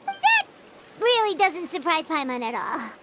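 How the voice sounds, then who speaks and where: A woman's voice: extremely high pitch, wildly swooping pitch, a little slow, very noisy, full character voice. A woman voicing a tiny, perky, childlike fairy companion in a video game, curious and given to exclaiming. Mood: curious, chatty. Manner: refers to self in third person, exclaiming.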